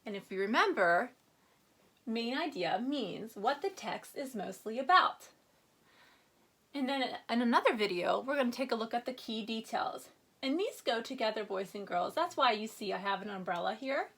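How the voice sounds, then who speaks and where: A woman teacher giving a reading lesson to young children, prompting them with questions and reading aloud with expressive emphasis. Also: a little high, normal speed, slightly rough. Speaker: first-grade teacher.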